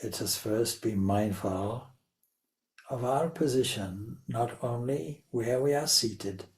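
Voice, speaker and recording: A man leading a guided meditation as a spiritual teacher, speaking deliberately in short phrases with long pauses. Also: fairly low pitch.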